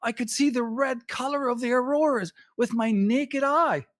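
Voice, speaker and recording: A man whose first language is not English, giving an astronomy slide presentation to a club audience with measured, emphatic pacing and pauses. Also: extremely high pitch. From Canada.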